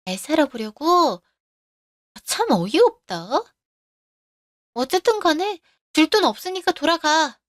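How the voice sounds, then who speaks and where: A woman voicing a selfish, sharp-tongued younger sister and young mother in a narrated radio story. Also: sits high, very animated, unhurried, pauses often, no room sound, clean studio sound, voice pushed up. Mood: snippy.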